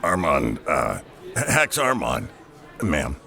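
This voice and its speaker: An actor playing a shy, earnest circus roustabout, awkward, hesitant and polite, in an audio drama. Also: male voice, a bass voice, wildly swooping pitch, slow pace, gravelly, bright, forceful tone, full character voice. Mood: flustered.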